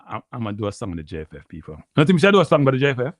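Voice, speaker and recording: Deep-voiced Jamaican man chatting. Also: big pitch swings, racing, dry recording, studio quality. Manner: rambling, repeats phrases, addresses viewers as people. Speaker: live stream host. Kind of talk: casual livestream chat.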